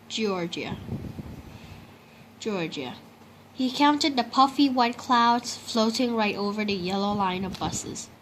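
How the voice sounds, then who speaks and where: A woman with a fairly high voice narrates a children's story aloud. She reads in a storytelling style for young listeners. There is clear background noise in the recording. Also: poor audio. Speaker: storybook reader.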